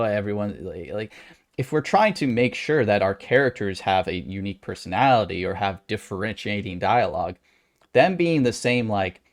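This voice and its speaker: Guy with a lowish voice chatting writing tips to his viewers, folksy and rambly, lots of asides. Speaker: author giving writing advice as channel host. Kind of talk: casual advice vlog talk.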